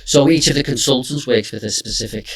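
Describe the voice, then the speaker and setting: A middle-aged English man working as a careers consultant, talking in a relaxed conversational way and often hedging with "you know" and "I suppose". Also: medium pitch, fast, husky.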